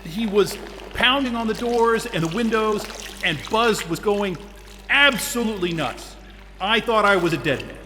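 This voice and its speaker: A blunt, swearing man on edge, with a high, bright and forceful voice. His lines ring with a long reverb, and music plays softly behind. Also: normal speed. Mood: strained. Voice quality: blunt and profane. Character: stressed isolated man, grumpy, blunt, swearing. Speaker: lead character. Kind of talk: audio drama acting.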